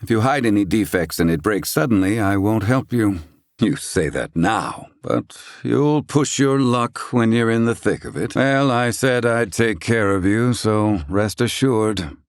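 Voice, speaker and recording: A man's voice: on the low side, animated, measured pace, no room sound, clean studio sound. An actor playing a proud, grumpy, brainy older man in a video game, with pompous, blustering, wordy old-fashioned diction. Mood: gruff, composed. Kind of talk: dramatic English-dub game acting.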